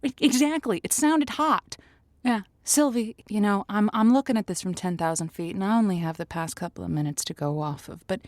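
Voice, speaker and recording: A woman's voice: mid-range voice, a little mellow, dry recording, clean studio sound. A woman hosting a comic call-in advice show, speaking conversationally in an emphatic, stammering, folksy way.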